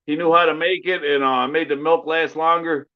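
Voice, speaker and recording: A man's voice: fairly high pitch, steady tone, moderate pace. A man on a livestream panel, hesitant in casual chat and filling gaps with ums. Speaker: panel guest. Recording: dull lo-fi stream audio.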